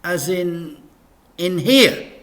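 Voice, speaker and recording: A man's voice: high voice, lively intonation, extremely slow, fairly bright tone, pitched above the performer's own voice, full character voice. A man voicing a polite, hesitant young boy in a children's storybook. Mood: puzzled.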